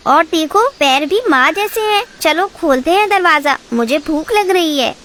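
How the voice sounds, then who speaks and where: A woman voicing a small, childlike, excitable bird in a children's cartoon story. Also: high voice, unhurried. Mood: excited.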